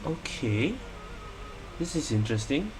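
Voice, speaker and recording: A man's voice: mid-range voice, a little slow, music in the background. A man giving casual gameplay commentary, musing and reacting aloud as a gaming streamer. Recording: steady hum of room noise.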